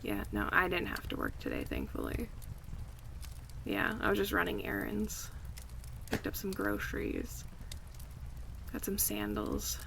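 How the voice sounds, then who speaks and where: A woman playing an affectionate, chatty friend in a casual, intimate ASMR roleplay, speaking softly and in a relaxed way. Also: mid-range voice, unhurried, creaky, pauses often, exaggerated voice. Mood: relaxed, friendly. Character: affectionate young woman, cozy longtime friend hosting a night in. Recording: faint background ambience noise.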